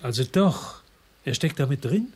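A man's voice: mid-range voice, lively intonation, unhurried, darkish tone, husky. An actor playing a world-weary crime investigator in a radio drama, brooding and laconic as he narrates and talks. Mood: probing.

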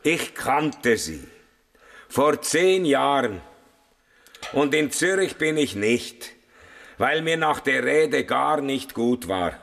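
An actor playing a weary, disgruntled older householder of some eminence in a German radio crime drama. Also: male voice, medium pitch, very animated, takes it slowly, gravelly, pauses often, fairly bright tone, full character voice. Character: weary, eminent old householder surprising burglars. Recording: long hall reverberation.

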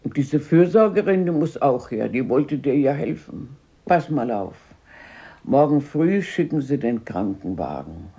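A woman's voice: very deep voice, big pitch swings, unhurried, mellow, husky, exaggerated voice. An actress playing a talkative, bossy but caring old grandmother in a radio drama. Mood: reassuring. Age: older. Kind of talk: 1970s German radio play acting.

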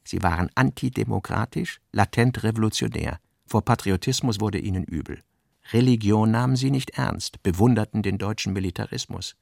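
Low, creaky-voiced male narrator. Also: normal speed, a little mellow, no room sound, studio quality. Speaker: narrator of a literary radio play. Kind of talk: scripted literary narration.

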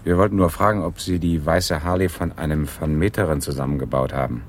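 An actor playing an inquisitive, businesslike police detective in a German radio crime drama, asking brisk questions. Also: male voice, very deep voice, fairly even intonation, a little hiss or hum. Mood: businesslike, curious.

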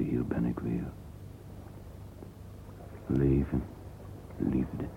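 An actor playing a wistful middle-aged man lost in thought, murmuring a halting interior monologue in a radio play. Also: rumbling low, gravelly, exaggerated voice. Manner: murmuring, halting, fragmentary musing, sometimes whispered. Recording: narrow-band lo-fi old radio recording, hissy.

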